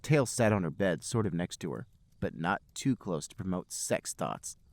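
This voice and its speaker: Low-voiced man narrating comic fan fiction. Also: expressive, normal speed, dry recording. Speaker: podcast host and narrator.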